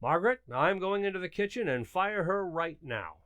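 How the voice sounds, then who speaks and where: An exasperated husband in a radio comedy, played by a man, blustering and indignant. His pitch leaps around with each emphatic complaint, and the sound is dry and close. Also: lively intonation, moderate pace, fairly bright tone, no echo. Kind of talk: amateur radio sitcom acting.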